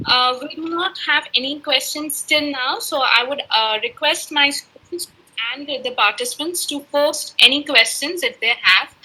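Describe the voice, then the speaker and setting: High, bright-voiced woman moderator. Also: normal speed, poor audio. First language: Urdu. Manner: courteous, deferential, addresses the speaker as sir. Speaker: webinar moderator. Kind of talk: polite formal moderation.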